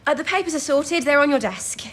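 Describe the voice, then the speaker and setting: A woman's voice: high-pitched, expressive, fairly quick, noisy background. A young woman playing an eager, modest teenage recruit to wartime codebreaking in a period radio drama, chatty and polite. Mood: helpful. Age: young adult.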